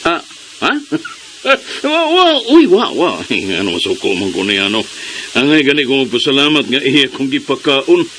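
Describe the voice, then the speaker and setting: Actor playing a smug, theatrical man, wildly swooping pitch. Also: sing-song, noisy background, lightly acted. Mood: flustered, defensive. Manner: teasing, theatrical, drawn-out phrases, scoffing. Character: smug, pushy, jealous man intruding on others' meal. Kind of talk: radio drama acting.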